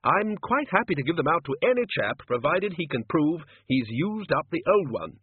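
A man voicing a blunt, blustery old wizard arch-chancellor in an audiobook, brusque, loud and emphatic. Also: a little high, very animated, moderate pace, slightly rough, dry recording, phone-quality sound, pitched above the performer's own voice, full character voice.

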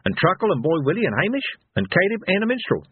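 Older female warrior, played by a male narrator: shrewd, quiet, measured, higher lilting pitch, phone-thin audio. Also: sing-song, breathy edge, no room sound, phone-quality sound, full character voice. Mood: thoughtful, cautioning. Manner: measured, reasoning.

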